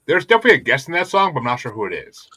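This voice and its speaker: A male podcast host with a bright, forceful voice recorded dry and close. He talks casually and emphatically, swearing often and circling back over his own phrases. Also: mid-range voice, huge pitch swings, rapid-fire, no echo. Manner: profane, emphatic, repetitive. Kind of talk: casual opinionated music podcast chat.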